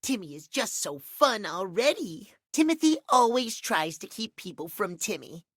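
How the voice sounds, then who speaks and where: Vain cartoonish game cat, high voice, swooping intonation, pouty baby talk, self-adoring, needy. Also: male voice, very animated, moderate pace, bright, forceful tone, no room sound, clean studio sound, full character voice. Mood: huffy, defensive. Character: vain, childish, needy male cat clock. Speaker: voice actor. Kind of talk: video game character acting.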